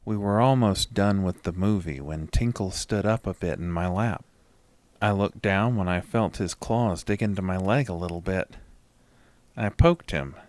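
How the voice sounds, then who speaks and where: A man narrating a scripted first-person horror story as a dramatic, measured storyteller. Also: sits low, no room sound.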